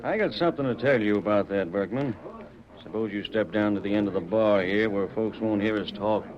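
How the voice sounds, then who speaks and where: A man's voice: on the low side, a little fast, natural voice. A man playing a tough, terse undercover lawman with folksy frontier speech in an old-time radio Western. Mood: sly, casual. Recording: dull vintage radio recording with chatter behind.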